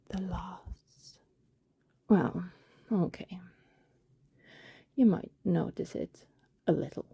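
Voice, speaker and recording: Breathy, whispery, tender vampire woman, acted by a woman. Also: medium pitch, dry recording, exaggerated voice. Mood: soothing, reassuring. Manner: soft, breathy, whispery, hesitant confiding delivery. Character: affectionate female vampire neighbor, guilty, tender and reassuring. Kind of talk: intimate ASMR roleplay acting.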